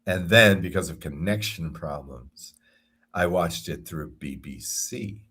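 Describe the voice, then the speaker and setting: A man's voice: sits low, unhurried, sharp tone, slightly rough. An American man co-hosting a sports podcast, chatting casually and rambling through stories with frequent chuckles. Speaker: podcast co-host.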